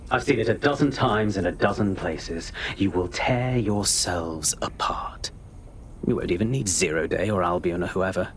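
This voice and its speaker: A man's voice: low voice, a little hiss or hum, close to an everyday voice. An actor playing an arrogant captured spy, lecturing his captors with contempt. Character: arrogant captured male spy, scornful and smug. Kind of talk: video game cutscene acting.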